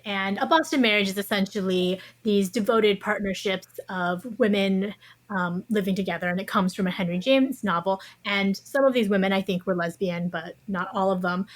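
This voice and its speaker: A woman with a mid-range voice speaks as a guest in an interview. She explains things in an articulate, conversational style. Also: medium pitch.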